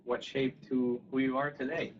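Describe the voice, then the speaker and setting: A man's voice: mid-range voice, flat delivery, normal speed. A man interviewing casually, forming his questions tentatively and repeating words as he goes. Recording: dull sound with faint background noise.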